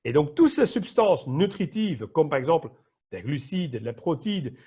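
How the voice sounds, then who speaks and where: A middle-aged man with a Flemish accent gives an explanatory training talk, now and then hunting for the right word. His voice sits a little high and has a husky, breathy edge. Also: big pitch swings, a little slow, warm tone. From Flanders, Belgium. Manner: explanatory, searches for words at times. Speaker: emergency nurse and wellness trainer. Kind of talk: online training presentation.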